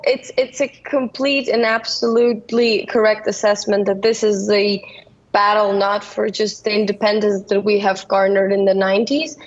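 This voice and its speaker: A woman's voice: mid-range voice, lo-fi sound. A woman from Ukraine, an interview guest, speaking emphatically and stammering into restarts. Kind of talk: remote news interview.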